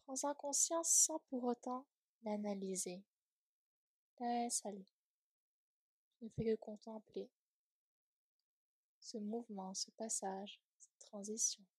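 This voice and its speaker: A woman leading a guided yoga nidra relaxation in a soft, breathy, soothing voice, gently trailing off at times toward a whisper. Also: on the high side, slow pace, pauses often, no echo.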